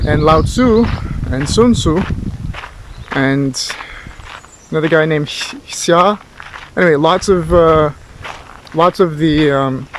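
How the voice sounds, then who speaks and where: Male tutor, fairly high voice, informal lecturing, rambling and reflective, some background noise. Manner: rambling, thoughtful, with rhetorical questions. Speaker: philosophy tutor.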